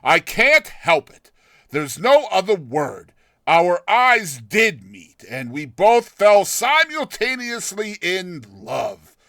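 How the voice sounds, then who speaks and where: Man acting a rapturous, animated young romantic. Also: high-pitched, slow pace, dry recording, clean studio sound, pitched above the performer's own voice, full character voice. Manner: dramatic, effusive, emphatic. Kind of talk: dramatized short story reading.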